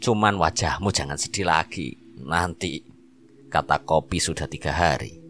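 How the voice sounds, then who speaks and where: A man's voice: extremely low pitch, normal speed, a bit bright, slight background noise. A man voicing a jovial middle-aged male rickshaw driver with a Javanese accent, joking and chummy.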